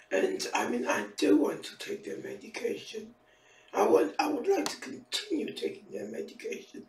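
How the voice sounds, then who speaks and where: A hoarse woman in her fifties who is losing her voice, rambling through a casual vlog and repeating her phrases. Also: on the high side, echoey room, recorded from a distance, poor audio. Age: 50s. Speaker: vlogger.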